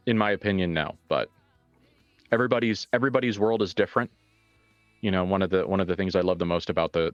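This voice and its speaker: A man talking casually and reflectively as a game master, in halting phrases with emphatic asides. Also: sits low, dry recording, dull audio. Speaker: game master and writer of an actual-play show. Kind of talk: casual reflective discussion, answering questions.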